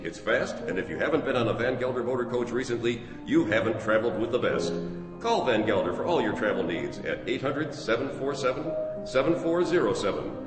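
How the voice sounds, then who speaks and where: A man with a mid-pitched voice delivers an upbeat radio ad. He is heard in a reverberant hall with music clearly playing. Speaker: commercial announcer. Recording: echoey hall, music behind.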